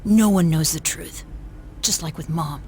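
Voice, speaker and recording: A woman voicing a solemn, grieving young boy in a video game, speaking earnestly and with measured care. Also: deep voice, a little hiss or hum. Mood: hurt, bitter. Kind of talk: dramatic video game voice acting. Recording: loud music behind the voice. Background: music.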